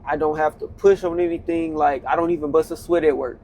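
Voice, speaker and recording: A man in his twenties talks loosely to his viewers from the cab of his truck, slipping into slang and repeating himself as he goes. His voice sits a little higher than most men's. The delivery is relaxed, conversational and motivational. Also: brisk. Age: 20s. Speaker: vlogger, truck driver. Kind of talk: casual motivational talk.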